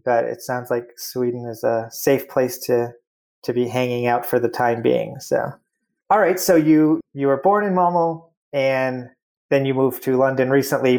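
A man's voice: medium pitch, darkish tone. Chatty man hosting an interview. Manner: friendly, chatty, full of fillers and follow-up questions. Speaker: interview host. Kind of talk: casual interview.

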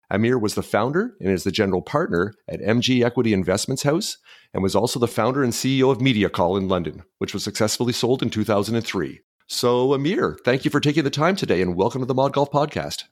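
A man hosting a podcast, delivering an upbeat, scripted-sounding introduction before interviewing his guest. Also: fairly low pitch. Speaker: podcast host.

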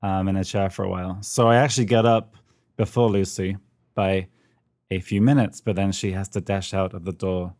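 Guy, lowish voice, halting chat w lots of ums, dry close sound. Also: fairly even intonation, darkish tone, dry recording. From United Kingdom. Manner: hesitant, many ums and restarts, dry self-mocking humor. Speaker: podcast co-host. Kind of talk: casual podcast chat. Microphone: remote call.

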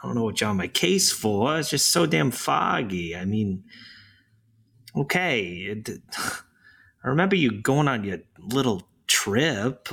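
A groggy, defensive young boyfriend in a found-footage audio drama, as an actor plays him. He drags his words slowly in a husky voice, and his pitch swings widely as he grumbles sarcastically. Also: fairly bright tone, heavily acted. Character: hungover irritable young boyfriend. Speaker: Robert, hungover boyfriend.

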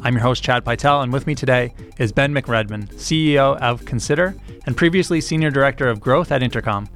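A man's voice: mid-range voice, a little fast, fairly bright tone, soft background music. A man hosting a podcast, asking short questions and prompting with "mm-hmm" as his guest talks. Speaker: podcast host. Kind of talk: interview hosting.

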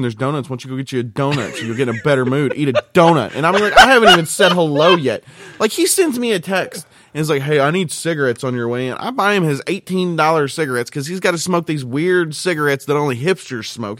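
A man in casual podcast banter, telling stories in an animated, ranting way and acting out quoted exchanges. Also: fairly high pitch, no room sound.

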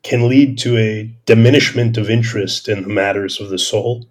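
A man's voice: on the low side, steady tone, normal speed. A man speaking as a podcast host in an extended intellectual discussion, academic and discursive, searching for words and repeating phrases as he stresses key terms. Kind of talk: extended intellectual discussion, answering listener questions.

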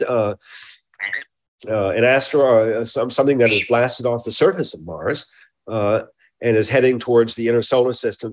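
A man speaking as an expert interviewee, explaining informally and haltingly, often repeating words and qualifying what he says. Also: mid-range voice. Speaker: scientist being interviewed.

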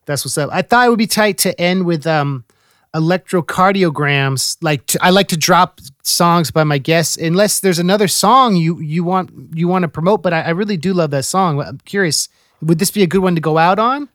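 A man from the Bay Area hosts a podcast with casual, excited chatter. His voice is high for a man and full of slang, and he often repeats words while he talks. The sound is dry and close. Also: normal speed, dry recording. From San Francisco Bay Area. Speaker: podcast host. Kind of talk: casual interview chat.

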